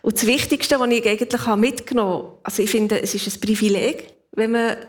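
Swiss German woman in her fifties, relaxed storyteller with frequent laughs. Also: fairly high pitch, fairly quick. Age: 50s. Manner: laughs often, reflective storytelling. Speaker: guest. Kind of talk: casual conversation.

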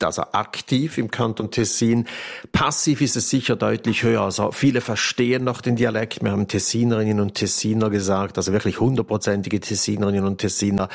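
A male correspondent with a low, gravelly voice explains things at length on a radio program. He thinks aloud and fills pauses as he works through his points. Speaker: regional correspondent.

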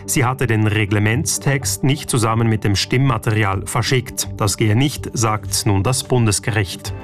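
A man reading a radio news bulletin in a measured, even way. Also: lowish voice, soft background music. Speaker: radio newsreader.

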